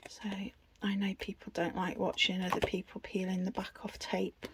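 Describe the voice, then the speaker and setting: A woman's voice: medium pitch, completely flat delivery, normal speed, in a whisper. A British woman giving a casual, unscripted craft tutorial in a chatty, self-deprecating way. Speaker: craft video host.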